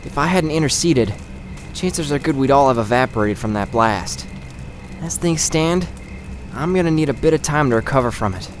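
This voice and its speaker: A man's voice: mid-range voice, lively intonation, normal speed, faint music behind, close to an everyday voice. A man playing a serious, weary and protective young male mage in an audio drama. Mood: weary regret.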